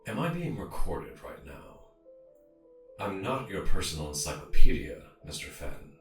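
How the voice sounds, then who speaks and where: A man's voice: sits low, huge pitch swings, measured pace, heavily acted. A man playing a curt, impatient scientist in an audio drama, formal and precise, in an echoey space with music behind him. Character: curt, impatient male scientist, dry and learned. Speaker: doctor of science. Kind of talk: audio fiction dialogue.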